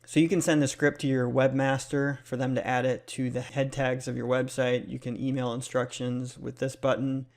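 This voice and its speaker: A man's voice: mid-range voice, flat delivery, moderate pace, hardly any pauses, a little mellow. A man hosting a casual explanatory tutorial, speaking in a plain, step-by-step instructional way. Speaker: tutorial host.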